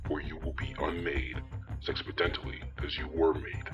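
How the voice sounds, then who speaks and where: An actor voicing a cold, imperious, threatening overlord in a comic-book dub, menacing and inhuman. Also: male voice, extremely low pitch, little pitch movement, moderate pace, fairly bright tone. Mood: cold threat. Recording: muffled band, music behind.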